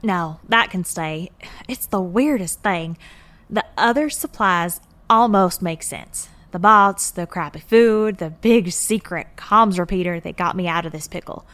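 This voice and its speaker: Folksy, wry moonbase worker. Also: female voice, lowish voice, measured pace, a bit bright. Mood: wry, calm. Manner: folksy, drawling exclamations, wry. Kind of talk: naturalistic audio drama acting.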